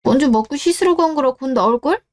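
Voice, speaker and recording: A woman's voice: high voice, lively intonation, fast talker. A woman voicing an easygoing, self-reliant husband and son in a scripted radio drama, casual and mildly defensive.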